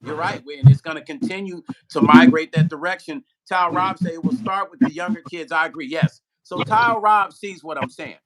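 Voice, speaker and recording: A man hosting a casual livestream, chatting with a guest and reading viewer comments aloud in an animated way. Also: fairly high pitch, normal speed, hardly any pauses, a loud crowd, rough recording. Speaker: livestream host.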